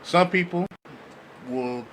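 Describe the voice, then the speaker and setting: Bright, high-voiced American man chatting. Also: takes it slowly. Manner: slangy, conversational, often checks the listener follows. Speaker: podcast co-host. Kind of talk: casual podcast chat and storytelling. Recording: clearly noisy background.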